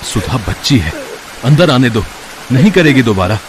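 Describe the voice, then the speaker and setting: An actor playing a soft-hearted uncle who remonstrates in an animated way, in a storybook tale. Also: male voice, medium pitch, moderate pace, background noise, natural voice. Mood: pleading. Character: protesting, soft-hearted uncle defending his orphan niece.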